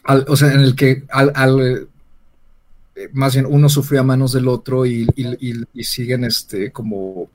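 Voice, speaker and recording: Male podcast panelist, medium pitch, conversational, searches for words as stories unspool. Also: flat delivery, a little slow, background noise. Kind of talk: casual chat.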